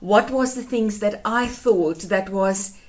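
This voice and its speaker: Woman, 30s, first language Afrikaans, home organizing vlogger, chatty, gushing, medium pitch. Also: slow, a little mellow. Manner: chatty, gushes over keepsakes. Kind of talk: casual decluttering vlog.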